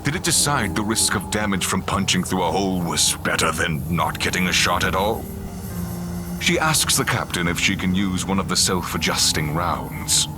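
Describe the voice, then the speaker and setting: A man with a very deep voice narrates a science fiction audio drama. His scripted delivery is theatrical and animated, building suspense with pauses over clearly audible music.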